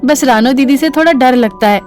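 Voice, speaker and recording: A woman's voice: high-pitched, moderate pace, a little mellow, lightly acted. An actress playing a meek, devoted young woman in love, speaking tenderly in an audio drama. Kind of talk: Hindi audio drama acting. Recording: music bed behind the voice.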